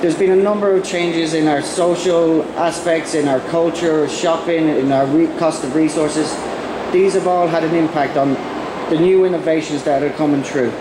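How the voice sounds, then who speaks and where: Brief: Irish man with a cold presenting at a conference, slightly high voice, roomy hall with noise behind. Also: fairly even intonation. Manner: halting, many ums and restarts. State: has a cold. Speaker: conference speaker. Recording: echoey hall with background noise.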